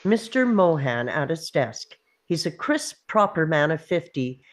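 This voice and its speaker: A woman's voice: sits low, very animated, measured pace. A woman narrating screenplay stage directions in short, clipped, punchy phrases at a table read. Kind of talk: scripted narration of screenplay action.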